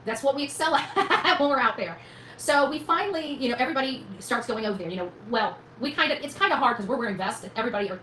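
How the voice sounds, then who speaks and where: A woman's voice: fairly high pitch, fairly bright tone. A woman telling a rambling, casual story to her viewers in a folksy, emphatic, colloquial way. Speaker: vlogger recounting own experience. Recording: echoey room with faint noise.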